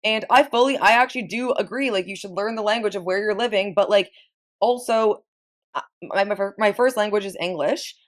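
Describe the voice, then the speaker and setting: A woman's voice: medium pitch, fairly quick. A woman in her twenties from Ontario, a podcast guest chatting casually, frank and profane, often restarting her sentences. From Ontario, Canada. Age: 20s. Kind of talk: casual chat.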